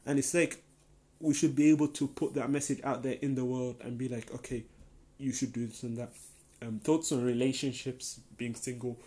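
A man's voice: medium pitch, level tone, normal speed, dark tone. Young man rambling through a Q&A. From Denmark. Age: young adult. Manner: rambling, lots of "like" and "um", enthusiastic. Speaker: podcast host. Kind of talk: casual solo Q&A chat.

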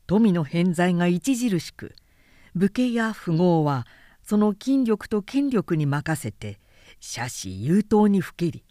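A woman reading a literary story as a dramatic audiobook narrator, in a measured, expressive storytelling manner. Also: fairly low pitch, dry recording, studio quality. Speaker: narrator, announcer.

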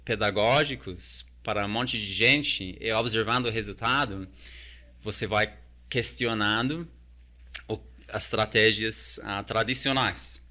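A man with a fairly low but bright, forward voice, speaking as a talker. He chats casually as an interview guest, hesitant and often restarting with repeated words. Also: a little slow. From New Zealand. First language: English. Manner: hesitant, repeats words while searching for Portuguese.

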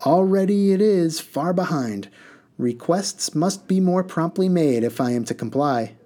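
A boastful bus driver yells over his shoulder in a high, gleeful sing-song, as a man reading aloud puts him on. He rambles in riddles and cheerfully points out danger. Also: expressive, unhurried. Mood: cheerfully grim.

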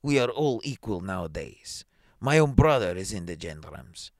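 A man's voice: low-pitched, very animated, normal speed, a little mellow, dry recording, clean studio sound. A man voicing a half-drunk goldsmith in a story reading, self-righteous, pleading and indignant. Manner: pleading, indignant, deferential to authority. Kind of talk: dramatised short story reading.

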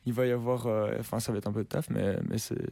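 A man's voice: on the low side, quick pace, gravelly. A young man, a musician chatting casually in an interview, loose and slangy with lots of fillers. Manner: relaxed slangy talk with many fillers and restarts. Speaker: musician guest.

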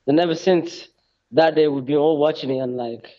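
Young guy rambling on, lots of likes and restarts, slightly high voice. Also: normal speed, mellow. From England. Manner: rambling, hesitant, many fillers and restarts. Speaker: guest, wrestler. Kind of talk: casual interview chat. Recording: dull lo-fi sound.

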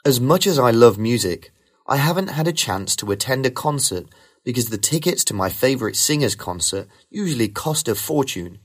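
A man reading rehearsed model answers for a speaking test in a smooth, even way. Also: mid-range voice, moderate pace. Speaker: sample test candidate.

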